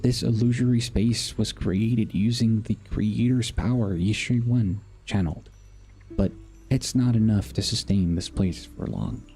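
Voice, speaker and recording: A male gaming streamer with a mellow, fairly low voice reads story dialogue out loud. He moves haltingly between the text and casual asides, with faint game music behind him. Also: steady tone, slightly rough. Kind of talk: reading game dialogue aloud with casual reactions.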